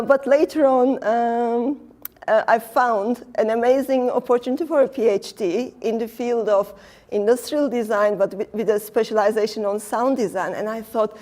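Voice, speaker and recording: A middle-aged woman with a Turkish accent and a slightly high voice. She talks through her answers thoughtfully, with lots of little fillers. She chats as a guest at a design event. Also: a little mellow. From Turkey. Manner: thoughtful, many fillers, laughs often. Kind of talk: casual interview talk. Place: design exhibition venue.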